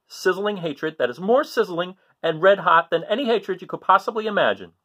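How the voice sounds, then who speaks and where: Male storybook reader, very lively intonation, slightly husky, theatrical and emphatic for young listeners. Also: on the high side. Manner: theatrical, emphatic, drawn-out suspenseful phrasing. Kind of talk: dramatic read-aloud of a children's book.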